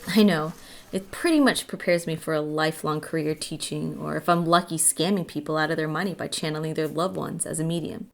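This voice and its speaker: A woman's voice: deep voice, fairly even intonation, fairly quick, gravelly. A woman playing a young college student of the occult, narrating her story in a wry, world-weary, confiding way for an audio drama. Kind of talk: first-person scripted audio drama narration.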